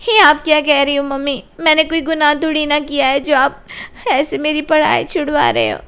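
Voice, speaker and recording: A woman's voice: high voice, animated, moderate pace, fairly bright tone, voice pushed up. A woman voicing an anxious college-age daughter in an audio drama, pleading and defending herself.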